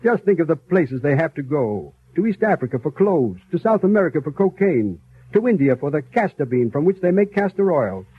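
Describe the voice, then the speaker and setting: An actor playing a knowledgeable, enthusiastic guide who explains with assurance in scripted 1930s educational radio style. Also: male voice, on the high side, sing-song, fairly quick, husky. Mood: informative. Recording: tinny, noisy 1930s radio recording.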